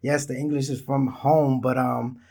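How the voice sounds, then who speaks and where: A man's voice: medium pitch, little pitch movement, moderate pace, vocal fry, a little mellow. A man in his thirties from Israel, hosting his own podcast in a laid-back, rambling monologue that talks straight to listeners. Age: 30s. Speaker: podcast host. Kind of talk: casual solo podcast monologue.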